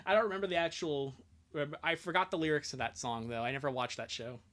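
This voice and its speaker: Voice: mid-range voice, fairly quick, a bit bright. A streamer chatting casually during a live reading, joking and often breaking off mid-thought. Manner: joking, self-interrupting, giggly. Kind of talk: casual livestream chat.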